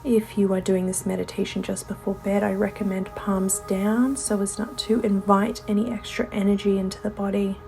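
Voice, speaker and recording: A woman leading a guided meditation as a yoga teacher, soothing and unhurried, her soft voice often falling away toward a whisper. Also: mid-range voice, flat delivery, gravelly, soft background music. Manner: unhurried instruction with gentle pauses.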